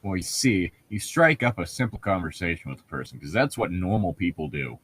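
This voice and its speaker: A man's voice: sits low, animated, fairly quick, fairly bright tone, husky, dry recording. A young man casually bantering and reading aloud in a mocking, sarcastic way, swearing freely. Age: young adult. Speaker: co-host reading and mocking a book.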